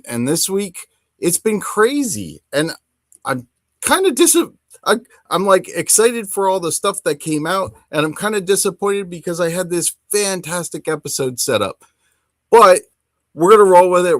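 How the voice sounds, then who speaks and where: A middle-aged man hosting a casual hobby podcast, talking enthusiastically in a rambling, halting way. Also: on the high side, no echo, clean studio sound. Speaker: podcast host.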